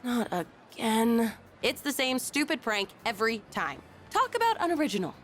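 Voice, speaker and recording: A woman's voice: on the high side, big pitch swings, moderate pace, soft background music. A young woman voicing an easygoing, frank, sporty teenage schoolgirl in a video game, with casual delivery. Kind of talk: video game character dialogue.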